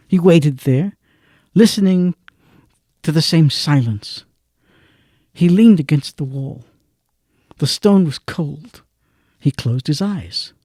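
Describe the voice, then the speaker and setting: An actor as a solemn storyteller, giving dramatic literary narration in an audio drama with deliberate phrasing. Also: male voice, fairly high pitch, mellow, no echo, studio quality. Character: solemn male storyteller of a literary radio play.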